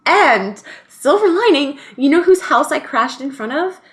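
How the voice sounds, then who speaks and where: A woman in her thirties co-hosts a relaxed podcast, rambling through a story with lots of fillers. Her voice sits a bit higher than most, and she laughs easily. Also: measured pace, a little hiss or hum. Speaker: podcast co-host. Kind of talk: casual chat.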